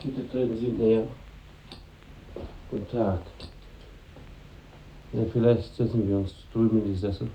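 An actor playing a middle-aged factory boss, curt, formal and reserved, in a 1950s radio play. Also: male voice, fairly low pitch, fairly even intonation, a little slow, pauses often, warm tone, a little hiss or hum, natural voice. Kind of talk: 1950s radio drama acting. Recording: muffled old radio recording.

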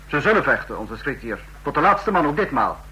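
An actor playing a stern dictator in a radio play, speaking in formal, commanding, measured oratory. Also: male voice, mid-range voice, sing-song, pushed and bright, rough recording. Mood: stern accusing. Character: stern male dictator of a fascist state.

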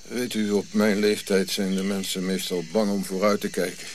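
An actor playing a dignified, weary old African prince in exile in a radio play, measured and solemn. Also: male voice, fairly low pitch, flat delivery, a little hiss or hum, close to an everyday voice. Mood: resigned, reflective. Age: older.

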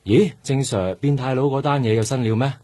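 A man's voice: mid-range voice, a little fast, warm tone, no room sound, natural voice. An actor playing an eager, chatty young male police detective, speaking Hong Kong Cantonese in a radio drama. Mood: reporting. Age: young adult.

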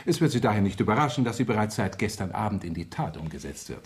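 An actor playing a businesslike police inspector in a 1970s radio crime drama, clipped and dryly sarcastic. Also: male voice, on the low side, sing-song, fast. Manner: clipped, dry, matter-of-fact.

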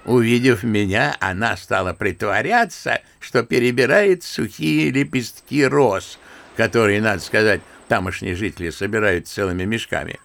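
An actor playing an old general and grandfather, telling his memories in an unhurried, bookish, old-fashioned way in a radio drama. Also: on the low side, vocal fry, fairly bright tone. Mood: fond, bashful. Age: older. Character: old general and grandfather, unhurried naive storyteller, good-natured. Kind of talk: Russian radio drama acting.

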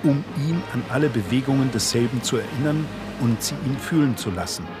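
A man giving a measured, formal literary reading of a philosophical text for a radio play. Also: medium pitch, music in the background.

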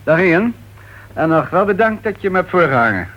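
Radio play, muffled and slightly noisy: irritable, self-important author, impatient and sarcastic. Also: male voice, high-pitched, sing-song, fairly quick. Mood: affable, self-satisfied. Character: irritable, self-important male crime writer, husband at home. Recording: dull, noisy old radio play recording.

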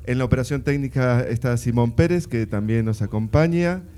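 Male radio interviewer, Argentine accent, relaxed and mellow, medium-pitched voice. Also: moderate pace. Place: radio station session with a present audience.

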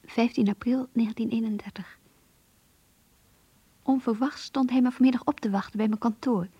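Lovesick young diarist in a radio play, soft mellow voice, really breathy, anxious and earnest. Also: female voice, a little high, fairly even intonation, normal speed, no room sound, lo-fi sound. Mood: happy, dreamy. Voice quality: breathy, whispery. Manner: intimate, hushed, confiding. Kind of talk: radio drama diary narration.

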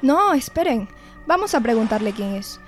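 A woman's voice: fairly high pitch, sing-song, moderate pace, soft background music. A woman playing a determined young leader of a paranormal investigation in a horror audio drama, composed and assertive like a presenter. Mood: curious.

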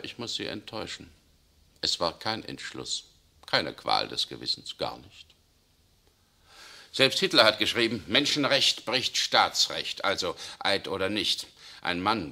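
A man acting in a 1960s radio play as a tense German SS officer who secretly resists, speaking urgently, swinging between outbursts and hushed confession. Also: low voice, full character voice. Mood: vehement. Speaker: radio drama actor.

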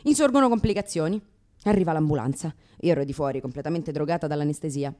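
A woman's voice: low-pitched, fast talker, dark tone, lightly acted. An actress in a radio theatre monologue playing a brash, sarcastic, exasperated new mother in her thirties. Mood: wry recounting. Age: 30s.

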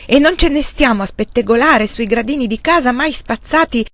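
A woman's voice: mid-range voice, brisk, no echo, close to an everyday voice. A woman voicing a young farmer man who speaks curtly and bluntly. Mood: angry. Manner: curt and blunt. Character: hardworking young farmer, man, proud and stubborn.

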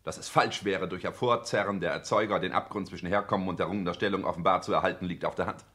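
An actor playing a cold, ambitious social climber in a 1960s German radio play, speaking in a clipped, pompous, formal and declamatory way. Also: male voice, deep voice, steady tone, fast talker, fairly bright tone. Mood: haughty, insistent.

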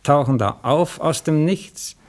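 Gravelly, grumbling Viennese bureaucrat. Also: male voice, on the high side, unhurried. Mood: curt, grumbling. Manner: condescending, curt, colloquial officialese. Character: grumbling, jaded senior male bureaucrat, bossy and cautious. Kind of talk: radio drama acting.

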